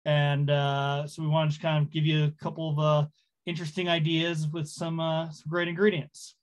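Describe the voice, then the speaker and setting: Middle-aged man, guest chef, fairly high voice, casual, self-deprecating, hesitant with ums. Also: level tone, moderate pace. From Hawaii, USA. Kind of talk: casual self-introduction on a group call. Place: online group call.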